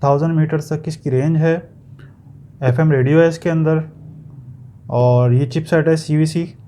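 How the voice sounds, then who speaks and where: A man's voice: on the high side, steady tone, normal speed, warm tone, slight background noise. A man with a Pakistani accent giving a casual, explanatory product review, feeling for his words and sometimes repeating them, as in an unboxing video.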